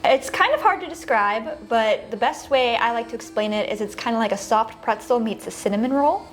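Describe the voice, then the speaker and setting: A woman's voice: a little high, animated, fairly quick, poor audio. Cheery woman bakery owner chatting in an interview, little laughs, lots of ums. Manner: cheerful, with light laughs and fillers. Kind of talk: casual interview answers.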